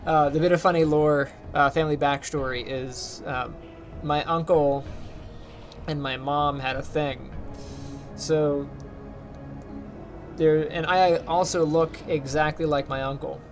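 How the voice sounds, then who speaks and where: A man's voice: fairly even intonation, unhurried, pauses often, soft background music. Light-voiced man chatting over gameplay. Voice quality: higher-pitched, light voice. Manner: rambling, chatty, talks to chat while playing. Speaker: streamer. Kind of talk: casual gameplay commentary.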